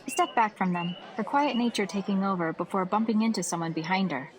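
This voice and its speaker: A woman's voice: mid-range voice, a little fast. A woman reading scripted fantasy story narration as the narrator of an audio series. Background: festival crowd ambience.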